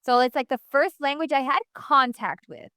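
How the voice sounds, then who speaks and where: A woman's voice: high-pitched, normal speed, bright, forceful tone, no room sound, studio quality. A young woman from the United States, a guest talking casually in an interview, rambling with many fillers and restarts.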